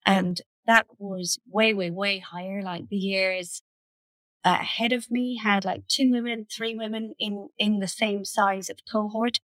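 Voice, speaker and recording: A woman's voice: mid-range voice, fairly even intonation, a little slow, fairly bright tone, dry recording, poor audio. A woman in her fifties with an Irish accent, giving conversational answers as a panel guest on a video call. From Ireland. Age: 50s. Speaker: panel guest, engineering director.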